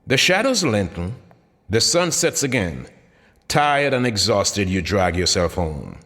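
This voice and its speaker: A man's voice: fairly low pitch, big pitch swings, measured pace, fairly bright tone. A man reading his own motivational book aloud as scripted narration, with dramatic, emphatic delivery. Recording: long hall-like reverb.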